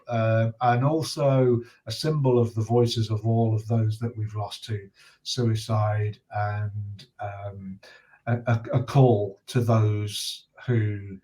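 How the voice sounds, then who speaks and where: A man in his sixties speaking as an interview guest, earnest and reflective, choosing his words hesitantly with pauses. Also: medium pitch, dark tone. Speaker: guest, retired journalist and charity campaigner.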